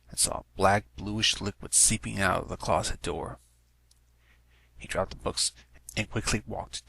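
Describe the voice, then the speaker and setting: A man's voice: on the low side, gravelly, bright, forceful tone, no room sound. A man giving a scripted narration of a story as an amateur reader. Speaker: amateur fan fiction reader.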